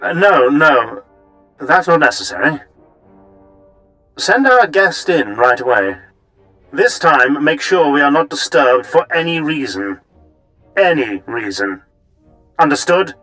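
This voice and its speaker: A man's voice: fairly high pitch, big pitch swings, slow pace, long pauses, pushed and bright, no echo, soft background music, exaggerated voice, rough recording. A man playing a haughty, short-tempered imperial officer in a video game, pompous and imperious. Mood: commanding. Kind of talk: video game cutscene acting.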